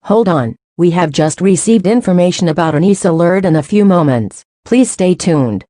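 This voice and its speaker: Woman as female TV news anchor: serious, composed, formal, mellow lowish voice, dry studio-style sound. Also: expressive, moderate pace, dry recording. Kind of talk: scripted news broadcast narration.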